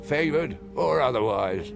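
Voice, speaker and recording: A formal proud adventurer, gravelly, fantasy game with a bit of music under. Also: male voice, medium pitch, expressive, a little slow. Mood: dignified. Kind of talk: fantasy video game acting.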